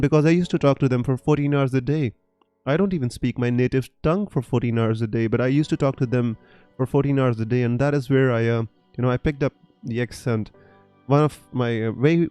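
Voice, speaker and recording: A man's voice: medium pitch, mellow, smooth, dry recording. A young man from Punjab in North India, with an accent picked up from gamers around the world, chatting on a live stream and reading out viewer comments with many fillers and restarts. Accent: mixed international English, picked up online. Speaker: fantasy football streamer.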